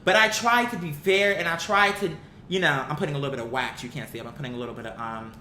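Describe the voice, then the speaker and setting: Online commentator, mid-pitched and sharp-toned, emphatic, slangy, swears freely, opinionated off-the-cuff talk, roomy home-style recording. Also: quick pace. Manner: blunt, emphatic, slangy and profane. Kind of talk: casual opinionated commentary, unscripted talk to viewers. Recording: echoey room, bright sound, faint background noise.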